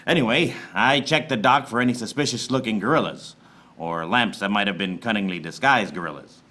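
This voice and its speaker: A man's voice: lowish voice, fairly quick, bright, forceful tone. A man playing a hapless, wisecracking private eye who narrates his case in a film noir radio parody, recorded live in a theater. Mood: wry, tense. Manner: wry, self-aware wisecracking. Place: theater stage before a live audience.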